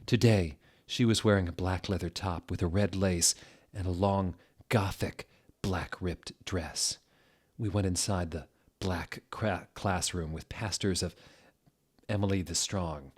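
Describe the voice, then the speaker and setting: Deep-voiced man, deadpan mock-dramatic narrator. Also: measured pace, slightly rough. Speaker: narrator doing a dramatic reading. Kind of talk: mock-dramatic reading aloud with wry asides.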